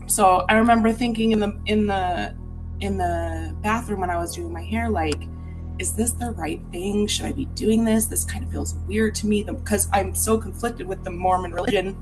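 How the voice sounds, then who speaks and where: A woman from Utah with a somewhat low voice tells a personal ghost story for a podcast. She sounds earnest and candid, reflective as she recalls it, with soft music faintly behind her. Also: poor audio.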